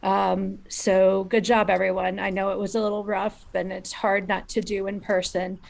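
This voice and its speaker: Director, female, gravelly edge, halting delivery with many fillers. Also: mid-range voice, level tone. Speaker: director and writer. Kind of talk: giving notes on a video call. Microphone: video call.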